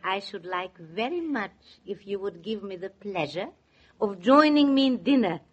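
A woman playing a proud, polite immigrant housekeeper with a German accent and formal, deliberate speech in 1940s radio drama style. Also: mid-range voice, lively intonation, slightly rough, highs cut off. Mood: gracious.

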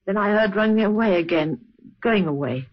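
A man playing an earnest, subdued eyewitness in an old radio crime drama, halting and respectful but sure. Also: high-pitched, lively intonation. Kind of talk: old-time radio acting. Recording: muffled old radio recording.

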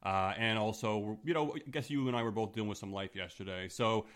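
A man from Canada with a deep voice, in his thirties, co-hosting a podcast in relaxed, casual chat. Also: brisk. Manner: relaxed and chatty, trailing off quietly at phrase ends. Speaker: podcast co-host.

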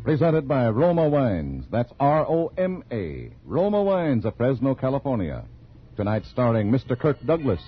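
Husky vintage radio show announcer, man. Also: mid-range voice, very animated, normal speed. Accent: American. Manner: emphatic, formal. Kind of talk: 1940s radio program introduction. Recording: muffled vintage radio, faint music.

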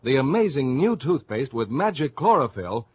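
A man's voice: medium pitch, sing-song, normal speed, breathy edge. A man announcing in a scripted 1950s radio advertising style. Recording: dull vintage radio broadcast recording.

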